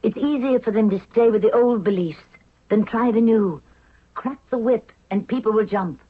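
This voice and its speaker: A woman's voice: a little high, moderate pace, breathy edge. A woman playing a hard-willed, commanding Egyptian queen in a 1970s radio drama, with a firm, imperious delivery. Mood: firm, persuasive. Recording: tinny narrow-band old radio recording.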